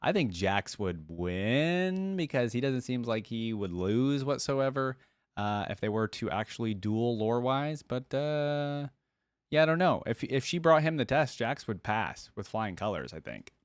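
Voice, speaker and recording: A man's voice: mid-range voice, animated, a little slow, smooth, dry recording, clean studio sound. A man hosting a reaction video with casual, conversational commentary, thinking aloud as he goes.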